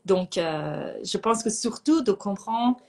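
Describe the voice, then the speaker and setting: A woman from Iran whose first language is Persian, a psychologist chatting as a guest in a calm, reflective way and often pausing to find her words. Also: medium pitch. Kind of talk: casual conversation.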